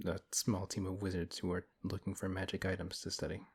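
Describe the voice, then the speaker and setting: Man acting as a deep, husky, principled paladin. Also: little pitch movement. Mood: serious, questioning. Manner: measured, earnest, hesitant pauses. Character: big strong male paladin, principled, gently protective. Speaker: audio roleplay performer. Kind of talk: fantasy audio roleplay, one-sided intimate conversation.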